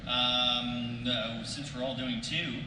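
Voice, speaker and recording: A man speaks very slowly and hesitantly as a panelist. He is far from the mic in an echoey hall, with loud noise around him. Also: medium pitch, little pitch movement. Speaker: voice actor panelist. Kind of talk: casual panel chat. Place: large convention panel room.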